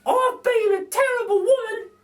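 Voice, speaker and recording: A man voicing a chatty, excitable working-class lady burglar with exclaiming, colloquial speech in a children's storybook. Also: very high voice, moderate pace, pitched above the performer's own voice. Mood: remorseful. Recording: distant microphone.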